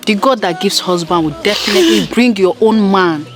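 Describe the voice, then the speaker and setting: A woman's voice: mid-range voice, lively intonation, a little fast, natural voice. An actress with a Nigerian accent playing a patient, consoling woman in a film drama, soothing and pleading. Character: patient, consoling woman, firm defender of others.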